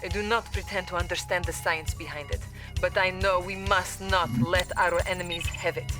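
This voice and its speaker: An actress playing a loyal, earnest Soviet military briefing officer in a video game. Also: female voice, on the low side, steady tone, moderate pace, lightly acted. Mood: grave, resolute. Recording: loud music behind.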